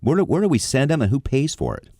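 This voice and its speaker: A man co-hosting a talk radio show, questioning a guest in an animated way. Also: mid-range voice, fast, no room sound, studio quality.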